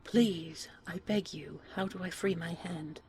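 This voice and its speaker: A woman's voice: fairly low pitch, measured pace, a little mellow, slight background noise, close to an everyday voice. Woman acting a humble, pleading young wanderer. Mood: pained, desperate. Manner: polite, pleading, earnest. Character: humble, pure-hearted young human, polite and pleading.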